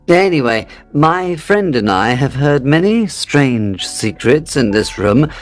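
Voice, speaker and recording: A man voicing a confident Victorian detective who reasons aloud in a measured, slightly impatient way. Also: medium pitch, no echo. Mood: crisp.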